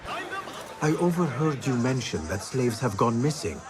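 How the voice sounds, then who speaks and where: Earnest young assassin, lively pitch. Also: male voice, unhurried, crowd noise. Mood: calm, probing. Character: earnest, loyal young male assassin, former street orphan. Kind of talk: video game cutscene acting.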